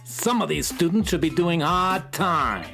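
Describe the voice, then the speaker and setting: A man's voice: on the high side, animated, measured pace, creaky, sharp tone, faint music behind, heavily acted. A man playing a rude, rigid police inspector in a children's audio drama, with a threatening, officious manner. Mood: stern, accusing.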